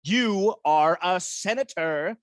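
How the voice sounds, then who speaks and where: A man's voice: sits high, slow, no room sound, studio quality. A man playing a bitter, scheming military ensign in a Shakespeare radio play, theatrical and sardonic. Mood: mocking. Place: recorded at home over a video call.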